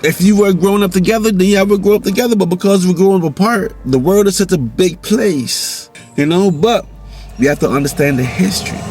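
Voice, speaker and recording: A man's voice: high-pitched, darkish tone. A man from Jamaica with a Jamaican accent, talking loosely in a casual vlog monologue and often tossing in "you know". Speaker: vlog host.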